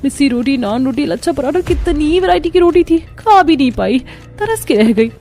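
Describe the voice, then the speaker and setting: A woman's voice: high voice, lively intonation, moderate pace, warm tone, soft background music, pitched above the performer's own voice. A woman voicing a young, scheming daughter-in-law in a cartoon story, complaining in an exasperated, whiny way. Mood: whiny regret. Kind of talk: Hindi cartoon story acting.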